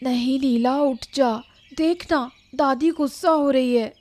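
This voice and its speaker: Woman as a high-voiced, coaxing young granddaughter. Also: slow pace, darkish tone. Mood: coaxing, soothing. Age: young adult. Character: young eldest granddaughter, placating and dutiful. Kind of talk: Hindi animated story dialogue.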